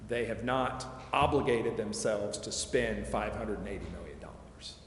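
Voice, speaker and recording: A man with a fairly low voice presents at a public meeting. He explains methodically and qualifies his points as he goes. The room is echoey with long reverb, and faint noise sits behind him. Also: moderate pace. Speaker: presenter answering committee questions on project financing. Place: large echoey council chamber. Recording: echoey hall reverb, clear background noise.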